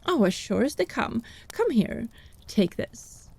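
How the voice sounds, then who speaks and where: A woman's voice: fairly high pitch, big pitch swings, slow, darkish tone, husky, heavily acted. A woman playing a wry, irreverent witch and healer in a fantasy audio drama, wordy and sardonic. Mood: dry, playful. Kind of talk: fantasy audio roleplay acting.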